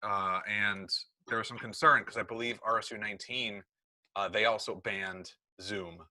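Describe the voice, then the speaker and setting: A man's voice: fairly low pitch, fairly even intonation, moderate pace, pushed and bright, no echo, low-quality recording. A middle-aged American man chatting in a work meeting on a video call, explaining things and often correcting himself. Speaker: meeting leader, school technology administrator. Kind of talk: casual work meeting chat.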